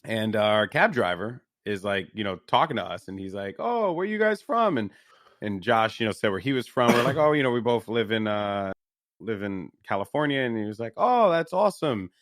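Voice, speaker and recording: A fairly low-voiced young man from New York tells a story on a podcast, with lively jumps in pitch whenever he quotes someone. The sound is dry and close, with no room around it. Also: moderate pace, no echo. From New York, USA. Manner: animated storyteller, raises pitch when quoting others. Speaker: podcast co-host, esports commentator. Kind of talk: casual storytelling chat.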